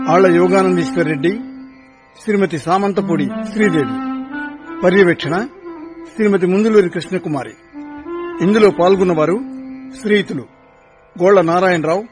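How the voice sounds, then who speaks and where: A man reading out closing credits in a slow, formal announcing voice. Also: high voice. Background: sitar music.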